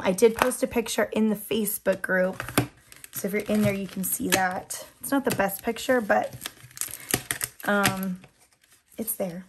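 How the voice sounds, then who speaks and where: A woman's voice: mid-range voice, a little hiss or hum. A woman chatting casually while doing her nails, her voice a bit worn from losing it, rambling with frequent ums and little laughs. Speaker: nail product seller and channel host. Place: indoors at a tabletop.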